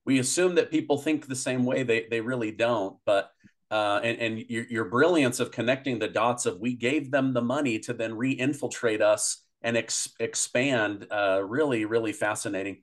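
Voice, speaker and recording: A man's voice: medium pitch, normal speed. A man in his thirties hosting an interview over a video call, talking appreciatively with some hesitant restarts. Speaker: interview host. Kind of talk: casual interview wrap-up. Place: home office, indoors.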